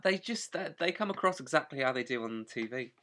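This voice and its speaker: A man with a bright voice and animated, swooping intonation. He chats casually as a podcast co-host, opinionated and loose with his language. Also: mid-range voice, fairly quick. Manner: informal, sweary, opinionated. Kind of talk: casual chat, opinionated review.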